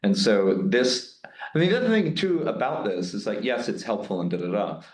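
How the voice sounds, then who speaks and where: A man's voice: on the low side, lively intonation, normal speed, roomy sound. A man answering questions after a lecture, thinking aloud in a candid, rambling way with many restarts. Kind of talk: candid academic Q&A.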